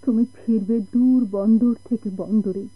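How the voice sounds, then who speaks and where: An actress playing an anxious, sorrowful wife and mother in a radio drama, with halting, plaintive delivery. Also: fairly high pitch, steady tone, slightly rough, no echo. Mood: anxious pleading. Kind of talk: Bengali radio drama acting. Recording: tinny, band-limited old radio recording.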